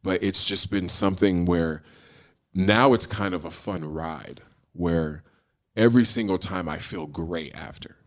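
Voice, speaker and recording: A middle-aged man with a low voice, a podcast guest who tells his stories animatedly and acts out the dialogue. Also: measured pace. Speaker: guest, screenwriter and actor. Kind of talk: casual storytelling in a podcast interview.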